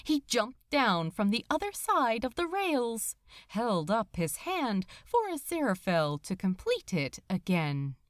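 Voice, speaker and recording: A woman narrating fiction from a script in an expressive, dramatic storytelling manner. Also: fairly high pitch, measured pace, no echo, clean studio sound. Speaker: podfic narrator.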